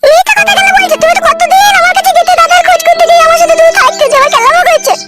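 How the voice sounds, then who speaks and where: Very squeaky, aggrieved village girl. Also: steady tone, racing, over loud music. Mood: aggrieved, accusing. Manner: complaining, accusing. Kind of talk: cartoon comedy dialogue.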